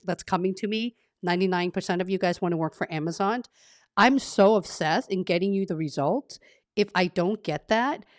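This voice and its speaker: A woman from Vietnam, talking as a career coach to her live-stream audience in an energetic, effusive way with frequent restarts. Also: lowish voice, no room sound, clean studio sound.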